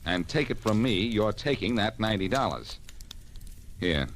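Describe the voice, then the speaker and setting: An actor playing a confident, wisecracking amateur detective in a brisk, commanding, wry manner, in 1940s radio acting style. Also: male voice, on the low side, very animated. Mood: exasperated, firm. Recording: noisy old radio drama recording.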